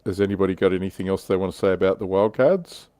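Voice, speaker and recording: A man's voice: deep voice, fast talker, dark tone, no echo. A man hosting a livestream, chatting casually and reading out viewer comments. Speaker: livestream host.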